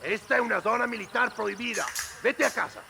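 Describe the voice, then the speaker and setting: Very high, gravelly Mexican army guard. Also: male voice, very fast, background noise. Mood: angry. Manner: barking, menacing. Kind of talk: video game voice acting.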